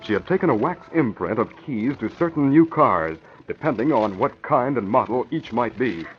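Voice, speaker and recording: A man's voice: mid-range voice, expressive, moderate pace, slight background noise. Dramatic male old radio narrator. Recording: muffled old radio recording. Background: music.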